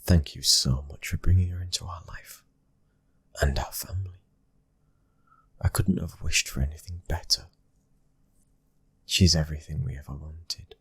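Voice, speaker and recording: A British man playing a tender, devoted mafia husband and father in a soft ASMR roleplay, speaking in a gentle, breathy hush and often whispering. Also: low-pitched, steady tone, moderate pace, pauses often, dry recording, full character voice. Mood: loving, tender.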